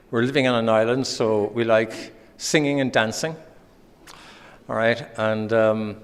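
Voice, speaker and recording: A man from Ireland with an Irish accent, giving a conference presentation with many pauses and restarts. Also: mid-range voice, smooth. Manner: hesitant, many ums and restarts. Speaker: conference speaker. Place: conference hall. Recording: hall reverb.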